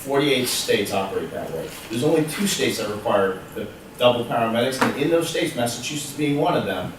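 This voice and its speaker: Fast-talking low-voiced man, very echoey. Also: big pitch swings, noisy background. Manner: explanatory, rapid. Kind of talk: meeting debate. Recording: echoey room from far off.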